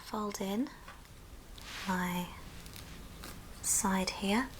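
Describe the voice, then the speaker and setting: A woman whispering a gentle, breathy, step-by-step ASMR craft tutorial. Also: mid-range voice. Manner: slow, gentle step-by-step instruction. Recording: noisy background, handling clicks.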